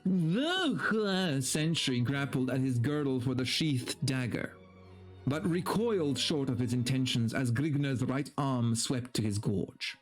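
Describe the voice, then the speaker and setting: A man's voice: medium pitch, animated. Theatrical man reading a story over music. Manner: theatrical, deliberate, breaks off into casual wry remarks. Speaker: streamer reading aloud. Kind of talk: dramatic story reading with mocking asides.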